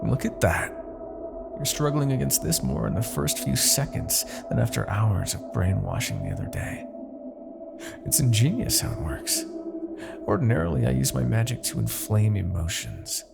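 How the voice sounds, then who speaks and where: A man playing an arrogant, possessive demon king in a husky, theatrical ASMR fantasy roleplay. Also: lowish voice, huge pitch swings, loud music behind the voice, full character voice. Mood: menacing, intrigued. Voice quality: husky, gravelly. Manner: theatrical, deliberate, swinging from murmurs to outbursts. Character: arrogant, possessive male demon king, obsessed with captive hero. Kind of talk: ASMR roleplay, dramatic monologue.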